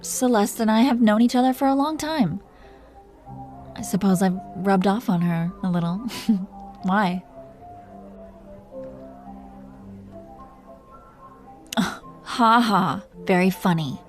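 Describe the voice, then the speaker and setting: A woman voices a possessive dragon queen with a mellow, relaxed voice at a middling female pitch. She talks closely and softly to her captive in an intimate roleplay, teasing and coaxing with tender, protective confidence. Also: measured pace, faint music behind, lightly acted. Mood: amused, smug. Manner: teasing, coaxing, soft and intimate, dropping into whispers. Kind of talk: intimate roleplay audio drama addressed to the listener.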